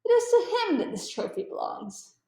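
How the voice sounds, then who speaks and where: A woman's voice: extremely high pitch, sing-song, normal speed, a little mellow, roomy sound, voice pushed up. A woman voicing a keen, amused male detective with precise, formal and emphatic speech in a storybook read-aloud. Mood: animated, explanatory.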